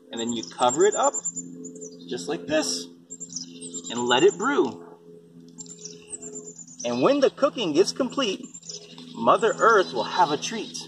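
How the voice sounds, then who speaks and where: Animated man talking to a young child. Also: on the high side. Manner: simple explanations and questions aimed at a young child. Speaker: storybook reader and parent explaining composting. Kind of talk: picture-book read-aloud with casual asides to a small child. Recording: distant microphone, faint background noise.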